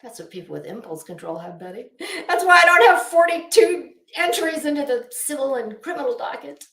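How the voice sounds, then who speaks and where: A woman's voice: high-pitched, animated, brisk, fairly bright tone. A middle-aged woman giving an unscripted, rambling commentary rant in a sardonic, scornful, sweary manner. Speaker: online commentary vlogger. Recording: echoey room.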